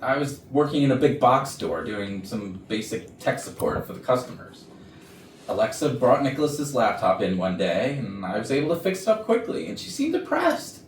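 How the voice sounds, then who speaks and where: A man's voice: mid-range voice, big pitch swings, normal speed, lightly acted. A man playing a defensive, blustering office executive, indignant and self-justifying, in a staged murder mystery comedy. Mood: pleading, explaining. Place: rehearsal room for a staged reading. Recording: echoey room, distant mic, background noise.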